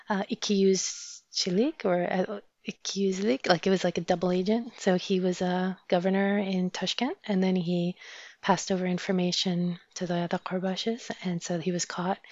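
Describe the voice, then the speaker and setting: A woman from Afghanistan, a guest in an interview, telling her story thoughtfully with many pauses and fillers. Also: fairly low pitch, a little mellow, no echo. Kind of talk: interview conversation. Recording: dull lo-fi sound.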